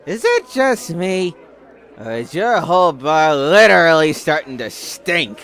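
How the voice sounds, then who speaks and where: A man's voice: a little high, very animated, measured pace, fairly bright tone, full character voice. A man playing a theatrical, indignant bar regular in an audio drama, ranting bombastically and swearing. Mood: irritated.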